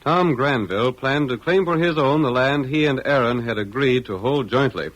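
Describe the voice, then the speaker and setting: A man's voice: medium pitch, moderate pace, poor audio. A man reading scripted narration in the style of a 1940s radio drama. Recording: old-time radio recording.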